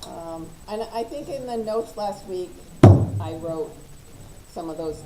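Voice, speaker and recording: A woman leading a community meeting through announcements, chatty and loud, with frequent "um" and "uh" fillers. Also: mid-range voice, expressive, slow pace. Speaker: meeting facilitator. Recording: roomy live-stream audio with faint noise.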